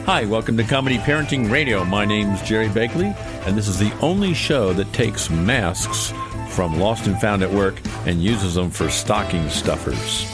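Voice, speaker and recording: A man's voice: lowish voice, expressive, moderate pace, loud music behind the voice. A man hosting a comedy radio show with jokey, scripted banter.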